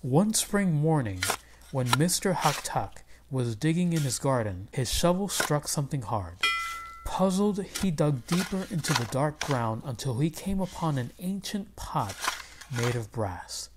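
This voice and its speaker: A woman reading a children's picture book aloud as expressive narration. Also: low-pitched, measured pace, noisy background. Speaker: storybook reader.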